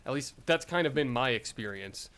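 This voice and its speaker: A man's voice: medium pitch, animated, normal speed, a bit bright, clear, resonant tone. A young man vlogging in a casual, rambling way, full of fillers and self-deprecating asides.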